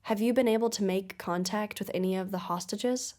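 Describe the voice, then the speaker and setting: A woman's voice: medium pitch, a little fast, darkish tone, close to an everyday voice. A woman playing a probing investigative mystery show host in an audio drama, speaking in a polished, formal, inquisitive manner. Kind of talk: audio-drama broadcast interview and narration.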